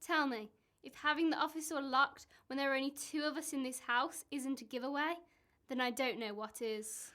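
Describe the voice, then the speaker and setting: A woman's voice: high-pitched, fairly even intonation, moderate pace, fairly bright tone. A woman in an amateur radio play acting a suspicious, exasperated wife, accusing and sarcastic.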